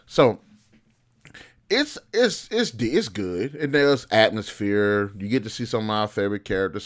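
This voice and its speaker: A man's voice: on the low side, a bit bright. A man giving casual, opinionated commentary as a review host, talking in a colloquial, informal way with some mild swearing. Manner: colloquial, informal, mildly profane. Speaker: host of a video game film review show.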